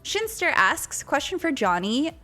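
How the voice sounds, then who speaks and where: A woman hosts a live stream interview, her voice lively and swooping and pitched a little high. She giggles often and reads viewer chat aloud, with faint music underneath. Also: a little slow. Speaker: stream host.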